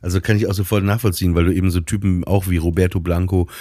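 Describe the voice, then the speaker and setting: A man co-hosting a podcast, chatting casually in a halting, rambling way and often interrupting himself. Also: sits low, flat delivery, dark tone, no echo, studio quality. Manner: halting, stumbling, self-interrupting. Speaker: podcast co-host.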